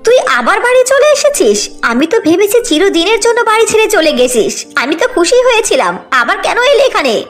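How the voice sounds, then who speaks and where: A woman voicing an emotional, sharp-tongued stepmother in a cartoon fairy tale, with dramatic delivery. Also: extremely high pitch, sing-song, moderate pace, hardly any pauses, faint music behind. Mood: angry, scolding.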